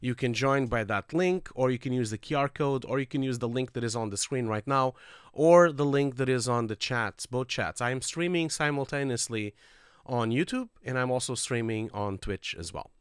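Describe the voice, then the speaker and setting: A man's voice: medium pitch, normal speed, smooth, no echo. A man hosting a livestreamed call-in show in casual, upbeat chat with viewers, nasal and congested from a cold, his breathing audible on a close microphone. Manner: chatty and upbeat, addressing viewers directly. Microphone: close streaming microphone.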